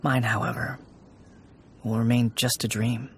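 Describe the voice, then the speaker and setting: A man's voice: mid-range voice, level tone, unhurried, a little hiss or hum, natural voice. A man playing an earnest, melancholy young funeral parlor worker in a video game, mild and sincere. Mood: wistful. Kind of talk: video game character dialogue. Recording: game music behind the voice.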